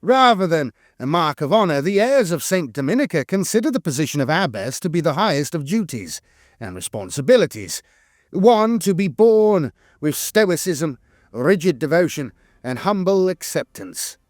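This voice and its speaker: A man reads scripted lore narration in a measured, solemn way, with dramatic emphasis on key phrases. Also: fairly high pitch, very animated, no echo, studio quality.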